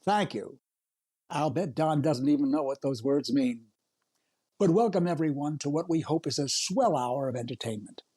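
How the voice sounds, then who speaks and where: An actor playing an earnest, cheerful singer who hosts a 1930s radio show. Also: male voice, on the high side, lively intonation, moderate pace, dark tone, breathy edge. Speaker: show host. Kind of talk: 1930s radio comedy acting.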